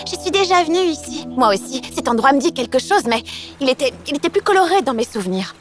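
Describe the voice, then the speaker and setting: A playful young cartoon friend who teases her pals. Her voice sits high and rises and falls a lot. Music runs steadily behind her. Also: lively intonation, fast talker. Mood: puzzled. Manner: teasing, chatty. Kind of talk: French cartoon dub. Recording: studio dub with music behind.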